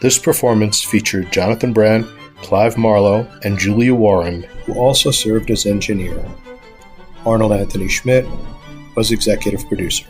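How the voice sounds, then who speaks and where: A man reading scripted podcast announcements in a formal, measured way. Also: fairly low pitch. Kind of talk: scripted narration. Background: music.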